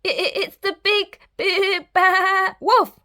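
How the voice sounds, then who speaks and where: A woman's voice: very high voice, fairly even intonation, slow pace, bright, forceful tone, pitched above the performer's own voice, full character voice. A woman voicing a timid little male pig who stammers and trembles. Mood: trembling.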